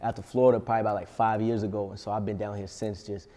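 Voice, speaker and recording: A man's voice: lowish voice, level tone, rapid-fire, mellow, in a large hall. Laid-back young man, New York accent. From Long Island, New York. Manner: laid-back, slangy, laughs often. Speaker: rapper guest. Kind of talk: casual interview.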